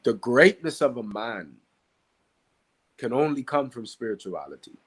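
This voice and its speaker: A man from the Bahamas with a Bahamian accent and a slightly husky voice, teaching conversationally and emphatically, repeating key phrases and asking rhetorical questions. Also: medium pitch, sing-song, unhurried, fairly bright tone. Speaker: podcast guest, Qigong practitioner and teacher.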